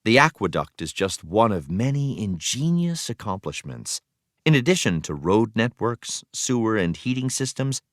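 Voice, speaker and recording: Male educational narrator, lowish voice in a dry recording, expressive read-aloud delivery with storytelling emphasis. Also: moderate pace, no room sound. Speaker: teacher reading aloud.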